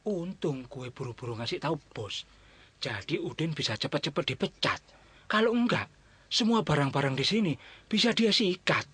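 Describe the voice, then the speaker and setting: A man playing a sneaky, timid shop worker in a radio drama, talking in a hushed, uneasy way. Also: a little high, lively intonation, normal speed, husky, dry recording. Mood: sly, relieved.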